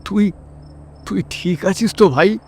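A man's voice: high-pitched, a little slow, slight background noise, pitched above the performer's own voice. A man voicing an anxious, jumpy young village man in a horror story, urgent and nervous. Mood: worried. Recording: music behind the voice.

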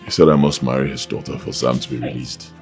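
A man's voice: very deep voice, little pitch movement, fast, darkish tone, faint music behind. A man playing a troubled, lovelorn young master of a wealthy house in a film drama.

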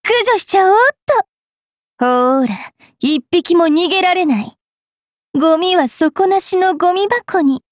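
Bratty anime game fairy witch girl, high pitched, slow haughty taunts, rough mouth, really dry close sound. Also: expressive, no echo. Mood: gleeful menace, then taunting, aggressive. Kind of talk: Japanese anime game character voice lines.

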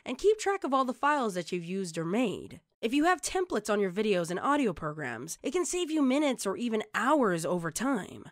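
A woman narrates a scripted how-to tutorial in a very lively, varied intonation. She speaks straight to the listener as an instructor. The recording is dry and close, with no room around the voice. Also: fairly high pitch, dry recording. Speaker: tutorial presenter.

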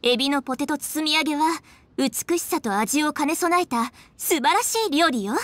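High-voiced, proud young lady. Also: expressive, moderate pace, fairly bright tone, no room sound. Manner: poised, formal feminine speech, flustered when teased. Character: proud, refined, dutiful young lady official. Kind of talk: anime game voice acting. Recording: faint music behind.

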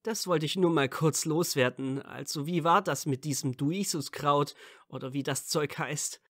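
A man's voice: fairly high pitch, normal speed, fairly bright tone, no echo, clean studio sound. A man playing a friendly, candid teenage wizard in an amateur audio drama. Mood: light, teasing.

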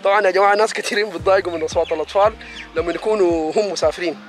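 Rapid-talking man vlogging. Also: high voice, steady tone. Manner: rapid, enthusiastic, addresses viewers directly. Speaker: vlogger reviewing a city event. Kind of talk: casual chat to viewers.

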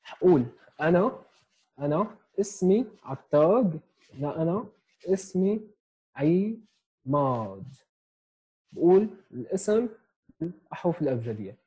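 Young male tutor, Syrian accent, mellow breathy tone, patient explainer recorded at home on a headset. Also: on the high side, big pitch swings, a little slow, long pauses, dull audio. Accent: Syrian Arabic. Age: 20s. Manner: explains step by step, checks in with "okay?" often. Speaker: sign language teacher. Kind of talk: online lesson. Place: small room at home, indoors. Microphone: earphone headset mic on a video call.

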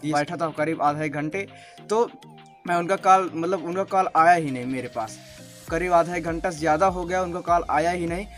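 A man's voice: on the high side, moderate pace. A young Indian man in his late teens talking casually to camera as a video host. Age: young adult, late teens. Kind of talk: casual vlog talk to camera. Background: music.

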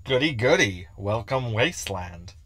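A man's voice: lowish voice, expressive, a little slow. A man playing a jittery, sarcastic hologram crew member in a sci-fi comedy audio drama, whiny and easily flustered. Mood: sarcastic, grumbling.

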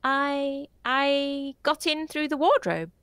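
A man's voice: very high-pitched, takes it slowly, pushed and bright, no echo, voice pushed up, full character voice. A man reading a story, voicing a polite, curious young girl in a raised, lifted voice, plain and earnest. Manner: plain, earnest, a little puzzled.

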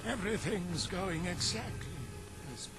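An actor playing a grandiose, scheming monster villain in a video game, with a gravelly, theatrical, sinister delivery. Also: male voice, high-pitched, unhurried, loud music behind the voice. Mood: sinister, gloating. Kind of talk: video game cutscene acting.

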